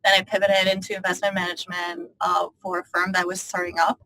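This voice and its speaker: A woman from Spain telling her career story on a student panel with a steady, even delivery. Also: on the low side, brisk, rough recording. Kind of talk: fluent self-introduction.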